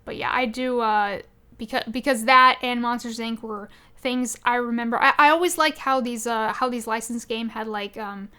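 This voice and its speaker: A woman's voice: on the high side, normal speed, pushed and bright, clean tone. A woman co-hosting a podcast, chatting casually about video games in an enthusiastic, rambling way. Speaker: podcast co-host.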